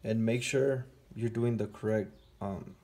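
A man's voice: fairly low pitch, fairly even intonation, unhurried, warm tone, breathy edge. Casual man explaining a car repair. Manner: casual, explains step by step while working. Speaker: auto mechanic. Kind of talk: hands-on repair tutorial. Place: garage workshop.

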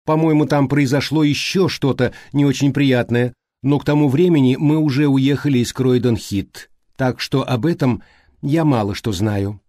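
A man voicing a prim, brisk, unsentimental female secretary in an audiobook, precise and matter-of-fact. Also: mid-range voice, dark tone, no echo, studio quality, natural voice. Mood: gossipy, detached. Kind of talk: audiobook character reading.

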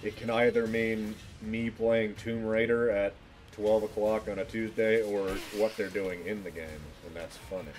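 Low-voiced man streaming a game. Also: level tone, moderate pace. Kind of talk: casual live game commentary. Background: game music and sound.

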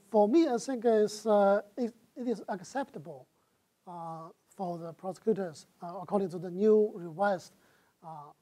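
A middle-aged man with a Chinese accent, speaking as a guest lecturer in a lecture hall. His voice is mellow and soft-edged, picked up on a lapel mic. He keeps pausing on "uh" and restarting his phrases as he works through an answer. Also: sits high. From China. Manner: halting, with frequent "uh" fillers and restarts. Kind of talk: answering audience questions in a talk.

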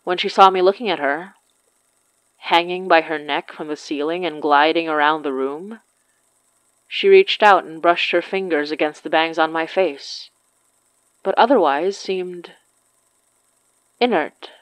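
Slow, low-voiced haunted horror narrator. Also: female voice, dry recording, rough recording. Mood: eerie, calm. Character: woman soberly recounting a haunted school childhood. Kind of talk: scripted horror audio drama narration.

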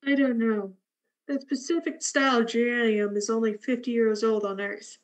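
A woman playing a female space scientist in a radio drama, high-voiced and measured. She is calm, curious and drily amused by what she studies. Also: close to an everyday voice. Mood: dry, curious. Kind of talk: radio play acting.

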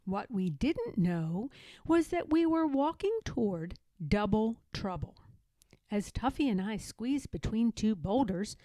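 Woman, children's read-aloud narration, expressive, mellow tone, unhurried, dramatic emphasis, dry close sound. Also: mid-range voice, no room sound. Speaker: storybook reader.

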